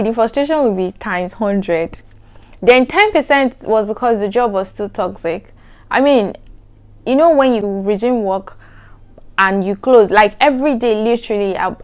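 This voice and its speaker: A young adult woman with a soft, soothing voice, chatting to camera in an animated, emphatic way. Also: medium pitch, moderate pace. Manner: animated, emphatic, repeats "trust me". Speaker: vlogger. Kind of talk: casual chat to camera.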